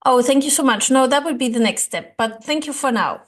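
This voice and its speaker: A woman whose first language is German speaks English in a somewhat high voice, earnest and rambling. She gives a casual lesson to camera, pausing on fillers and restarting her sentences as she thinks. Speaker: language-learning YouTuber.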